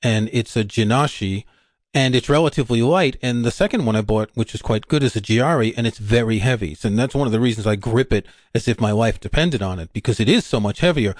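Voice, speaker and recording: A man's voice: on the low side, fast, darkish tone, no room sound. Dry, close-miked male podcast host. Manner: curious, conversational questioning. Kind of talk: interview questions.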